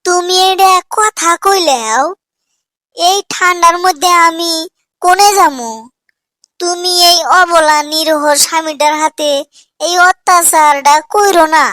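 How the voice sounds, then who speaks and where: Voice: very high voice, takes it slowly, pushed and bright, no room sound, exaggerated voice. A performer voicing a whining, henpecked young village husband in a comic cartoon, with a rural Bangladeshi accent and an exaggerated, plaintive manner. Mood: meek, pleading.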